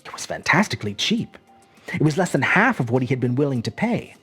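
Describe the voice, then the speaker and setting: An expressive storyteller reads scripted fiction in a husky voice. The pitch moves dramatically across a very wide range, with measured phrasing over faint music. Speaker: storyteller, host. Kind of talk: scripted narration of a short story.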